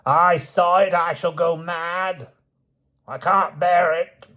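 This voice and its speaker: A man voicing a tyrannical, shrill old grandmother who wails and screams for her family. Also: fairly even intonation, a little slow, slightly rough. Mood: hysterical. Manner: wailing, screaming. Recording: dull lo-fi recording.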